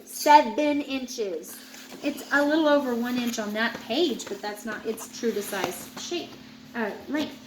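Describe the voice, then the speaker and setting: A woman's voice: a little high, a little slow, echoey room, noisy background. A woman reading a children's picture book aloud, chatting to a child in asides as she goes. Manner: animated, chatting to a child listener. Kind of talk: read-aloud storytelling with asides.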